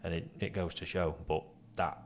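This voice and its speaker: Very deep, flat-voiced man chatting. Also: moderate pace, heavy background noise. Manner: rambling, enthusiastic, many restarts. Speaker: koi dealer and co-host. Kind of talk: casual podcast chat. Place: small studio room with posters. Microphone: studio podcast mic on arm.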